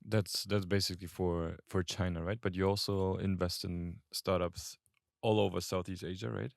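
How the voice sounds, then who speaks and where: A man's voice: low-pitched, flat delivery, normal speed, gravelly, dry recording, studio quality. A man interviewing a guest, asking probing, conversational questions.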